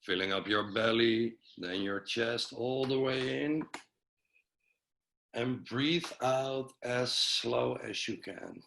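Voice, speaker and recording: A man with a Dutch accent coaches listeners through slow breathing on a video call. His voice is bright and clear, his manner friendly and easygoing, and he laughs at himself now and then. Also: medium pitch, a little hiss or hum. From Netherlands. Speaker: breathwork and cold exposure coach, webinar speaker. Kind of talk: guided breathing instruction in a webinar.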